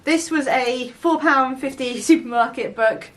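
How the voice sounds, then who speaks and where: A woman's voice: sits high, measured pace. A young woman in a casual, conversational book chat, co-hosting a vlog.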